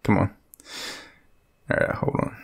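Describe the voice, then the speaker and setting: A young Australian man playing a shy, lovestruck friend in an intimate romantic audio roleplay, speaking hesitantly in a deep, gravelly, breathy murmur. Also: a little slow, lightly acted. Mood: bashful, teasing.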